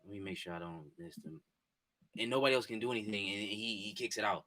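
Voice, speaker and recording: A man in his twenties chatting casually with his live-stream viewers from a home setup, excitable and repetitive. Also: on the low side, fast. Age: 20s. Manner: excitable, repetitive, talks straight to chat. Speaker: sports streamer. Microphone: desk mic and headphones.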